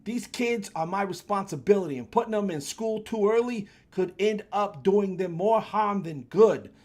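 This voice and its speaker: A man's voice: sits high, moderate pace, darkish tone, voice pushed up. A man voicing a stubborn, defensive school teacher in a read-along. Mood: indignant.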